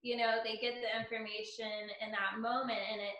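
A woman speaks earnestly, thinking aloud and filling pauses as she goes. She is a dance teacher on a video call. Her voice bounces around an echoey room. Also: fairly high pitch, level tone, fairly bright tone. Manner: earnest, thinks aloud with many "I mean" and "you know". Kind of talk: casual video-call interview.

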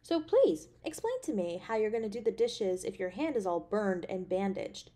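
A woman playing an affectionate, anxious, playfully teasing girlfriend in a romantic audio roleplay, with a tender, expressive delivery. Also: mid-range voice, moderate pace. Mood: teasing, caring. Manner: tender, expressive, with sighs and soft chuckles.

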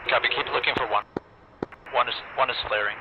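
A man's voice: fairly even intonation, quick pace. A man giving clipped military radio calls in a flight simulator, speaking in procedural brevity phrases. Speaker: flight lead in a virtual squadron. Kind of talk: military radio comms in flight sim roleplay. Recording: noisy, muffled radio comms with engine rumble.

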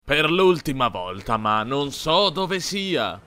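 A man's voice: fairly high pitch, sing-song, slow, music in the background. A man voicing a cocky, mean older brother in a cartoon dub, sneering and taunting in crude slang. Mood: annoyed, dismissive.

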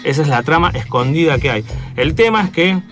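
A man's voice: on the high side, lively intonation, a little fast, soft background music. A man with an Argentine accent chatting casually as a radio columnist, hesitant and searching for words. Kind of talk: casual explanatory chat.

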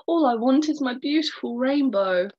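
Storybook tadpole, young and male, pleading and earnest as a woman reads him, slightly high voice, dull lo-fi sound. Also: expressive, moderate pace, a little mellow. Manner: earnest, apologetic. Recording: dull lo-fi recording.

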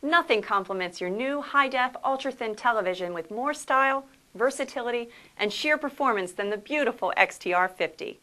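Higher-voiced woman narrating a product promo. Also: expressive, a little fast, few pauses, pushed and bright. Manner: polished, upbeat sales delivery.

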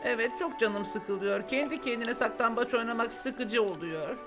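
A woman's voice: fairly high pitch, normal speed, music in the background, close to an everyday voice. A woman voicing a stubborn, touchy little frog in a children's cartoon, with an exaggerated, indignant delivery. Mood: grumpy. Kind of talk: animated children's cartoon dub.

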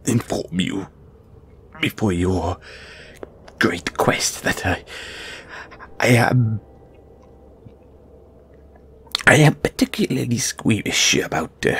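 A man's voice: fairly low pitch, expressive, takes it slowly, pauses often, fairly bright tone, slight background noise, full character voice. A man acting a squeamish, timid gargoyle handler in an audio roleplay, stammering and speaking with nervous, formal politeness. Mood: queasy, hesitant.

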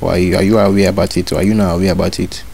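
A low-voiced man rattles off demands at a rapid clip over a phone line full of hiss. He plays a fake inspector, bossy and relentless, repeating the same orders again and again. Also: flat delivery, creaky. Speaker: prank caller posing as a school inspector. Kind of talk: prank phone call.

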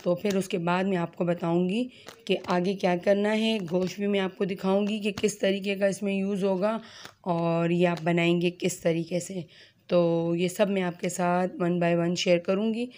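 A woman with a hoarse, sore throat, chatting straight to viewers as she hosts a casual home cooking tutorial, filmed on a phone in her kitchen. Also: lowish voice, flat delivery, a little slow, a little mellow, a little hiss or hum. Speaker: cooking channel host.

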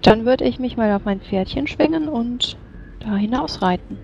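A woman's voice: a little high, normal speed, gravelly, a little mellow, a little hiss or hum. A woman playing a tabletop role-playing game, describing her character's actions and speaking in character. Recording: slightly dull, lo-fi microphone sound.

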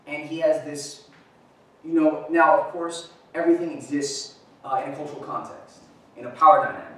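Guy giving a talk, kinda high voice, lots of "right?" and "uh", echoey room, mic far off. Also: a bit bright. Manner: conversational, frequent "right?" and "uh" fillers. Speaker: presenter. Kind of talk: lecture presentation. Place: gallery-like room with a screen.

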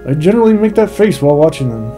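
Brief: director giving commentary, very dark mellow tone, faint music behind. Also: male voice, a little high, very animated, rapid-fire. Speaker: film director.

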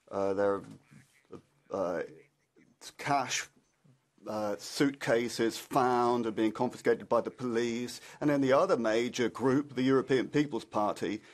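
A man interpreting a combative political speech from an interpreter's booth. His voice is mid-pitched, and he stumbles over fillers before rushing ahead. He keeps a plain, even tone. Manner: halting, with frequent "uh" fillers, then quickening bursts. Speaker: simultaneous interpreter.

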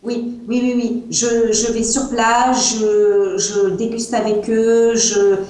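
A woman's voice: on the high side, little pitch movement, slow pace, warm tone. A woman in her thirties with a Provençal French accent, a guest on a video call who speaks hesitantly with many fillers. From France. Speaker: guest, wine seller. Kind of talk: interview over video call. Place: small room at home, indoors. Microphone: earbuds on a video call. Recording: echoey room sound over a video call.

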